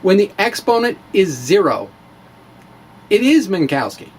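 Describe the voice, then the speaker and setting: A man giving a theatrical, emphatic dramatic reading of a science blog, with deliberate pauses. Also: sits high, very animated, breathy edge. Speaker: science blogger and presenter.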